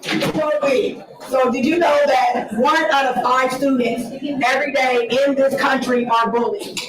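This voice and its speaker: A woman from Ohio giving an earnest, emphatic presentation about her nonprofit, her voice raspy from an early start. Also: high voice, steady tone, a little slow, crowd noise. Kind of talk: conference presentation. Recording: echoey hall, distant mic.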